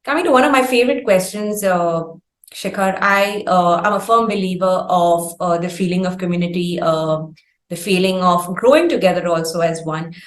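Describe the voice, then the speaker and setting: An Indian woman interviews a guest in a roomy, echoing space. Her phrasing is formal and wordy, and she builds her questions deliberately. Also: on the low side. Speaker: interviewer, host. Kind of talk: conversational interview. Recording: roomy echo on voice.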